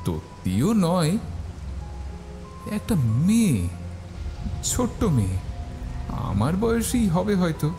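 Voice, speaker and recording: A man's voice: high-pitched, wildly swooping pitch, slow, pauses often. A man narrating a scripted first-person horror story. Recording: faint music behind the voice.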